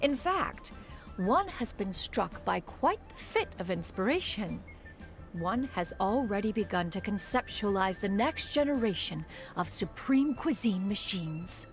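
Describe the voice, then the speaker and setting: An actress playing a haughty, ancient immortal mentor in a video game, with pompous archaic speech. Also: female voice, mid-range voice, sing-song, measured pace. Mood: proud. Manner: pompous, archaic speech, refers to self as "one". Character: haughty ancient adeptus bird in woman's form, imperious mentor. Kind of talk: scripted video game dialogue. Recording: music playing behind.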